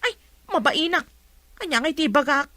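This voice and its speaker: A woman's voice: high-pitched, lively intonation, moderate pace, pushed and bright, no room sound. A Filipino woman acting in a radio drama as an exasperated rural wife who scolds and pleads to keep the peace. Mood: reluctant, pleading.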